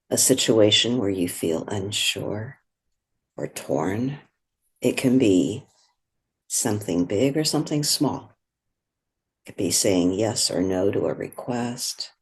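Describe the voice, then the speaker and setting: A meditation guide speaking in a gentle, soothing, unhurried way, giving slow instructions with long pauses. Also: medium pitch, slightly rough.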